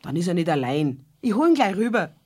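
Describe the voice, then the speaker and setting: A woman's voice: lowish voice, very animated, a little fast, a little mellow. An actress playing a persistent, nosy, good-natured neighbour woman with a Bavarian accent in a children's radio play. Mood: insistent. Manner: chatty, insistent.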